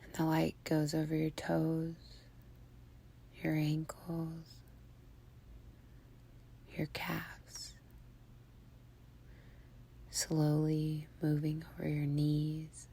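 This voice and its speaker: Female meditation guide: low, very flat pitch, breathy near-whisper, soothing and unhurried, faint background noise. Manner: soothing, hushed, unhurried phrasing with long pauses.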